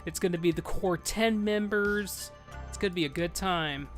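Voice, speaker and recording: Loud, excitable man in his thirties. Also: high-pitched, moderate pace, faint music behind. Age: 30s. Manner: loud, excitable, swears freely. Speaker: streamer leading a game group. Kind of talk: casual live gameplay chat.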